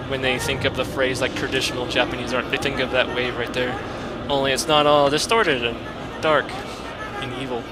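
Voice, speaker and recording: A man's voice: medium pitch, little pitch movement, fairly quick. A man giving casual, rambling commentary on a video game, with joking, sarcastic asides. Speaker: let's play host. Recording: loud game music behind. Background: video game music.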